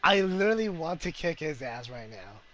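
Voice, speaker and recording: A man's voice: fairly high pitch, very animated, moderate pace, crowd noise. A man giving casual gameplay commentary as a game streamer.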